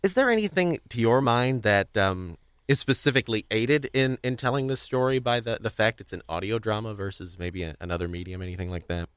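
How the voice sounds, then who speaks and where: A young man casually hosting a podcast, talking in a rambling, halting way with frequent restarts. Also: fairly low pitch, fairly bright tone, smooth, dry recording. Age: young adult. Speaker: podcast host.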